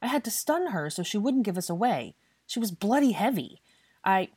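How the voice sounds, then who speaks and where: A woman's voice: mid-range voice, sing-song, moderate pace, a little mellow, slightly rough, no echo, clean studio sound, pitched above the performer's own voice. A woman voicing a bitter, angry young man who scolds heatedly in an audiobook fantasy story. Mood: heated, defensive. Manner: heated, scolding, British swearing. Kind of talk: audiobook character dialogue.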